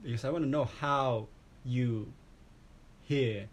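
A man's voice: mid-range voice, slow, a little hiss or hum. Hesitant man with a Japanese accent. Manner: hesitant, restarts phrases, laughs often. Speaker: host. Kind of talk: casual chat.

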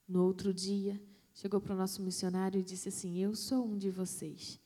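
A woman missionary, telling a testimony in a sermon, with dramatic pauses and hushed emphasis. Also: mid-range voice, level tone. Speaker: missionary preacher.

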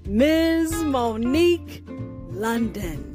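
A woman radio host with a fairly high voice delivers her words very slowly and deliberately. She leans on dramatic pauses and emphasis, like a spoken-word poet, with some music behind her. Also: big pitch swings. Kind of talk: scripted sign-off and spoken-word poetry.

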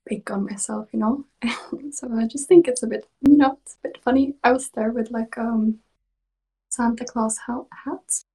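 Soft-spoken Swedish woman, whispery and giggly. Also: on the high side, steady tone, moderate pace, breathy edge, rough recording. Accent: mixed English and Australian. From Sweden. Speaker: podcast guest, ASMR creator. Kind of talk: casual interview chat.

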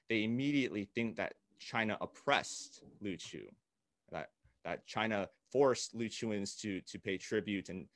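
A man's voice: lowish voice, fairly bright tone, dry recording. A young man from Okinawa and Hawaii, a guest explaining things earnestly, with many fillers and restarts. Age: young adult. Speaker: guest, founder of a peace group. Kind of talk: interview over a call.

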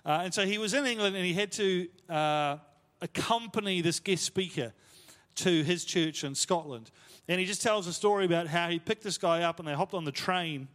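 A man delivers a sermon through a series of stories, relaxed and conversational, stumbling over fillers and poking fun at himself. His voice sits a little higher than most men's. A long reverb trails each phrase, as if from a large church hall. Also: normal speed. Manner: conversational, self-deprecating, lots of fillers. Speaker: pastor. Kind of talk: sermon storytelling.

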